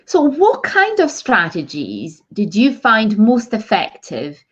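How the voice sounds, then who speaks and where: A woman speech therapist, interviewing a mother and explaining slowly with many fillers. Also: a little high, wildly swooping pitch, a bit bright, breathy edge. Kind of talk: interview chat. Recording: dull lo-fi sound.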